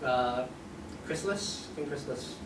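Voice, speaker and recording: A man's voice: mid-range voice, unhurried. A man speaking as a convention panelist, chatting casually and jokingly with the audience. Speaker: convention panelist from an audio drama group. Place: convention panel room, indoors. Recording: roomy, distant microphone, noisy convention room.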